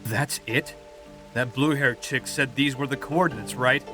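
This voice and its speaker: A man's voice: medium pitch, unhurried, sharp tone, soft background music. A man playing a blunt, easygoing male demigod brawler. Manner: laid-back, blunt.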